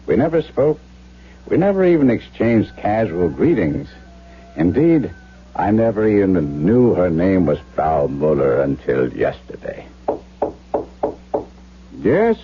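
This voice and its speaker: An exasperated doctor, wildly swooping pitch. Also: male voice, husky, exaggerated voice. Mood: wry, reflective, then brusque. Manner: theatrical, emphatic, brusque. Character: impatient German doctor, harried and exasperated, narrating his story. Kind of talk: 1970s radio drama acting, narration and dialogue. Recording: dull, noisy 1970s radio drama recording.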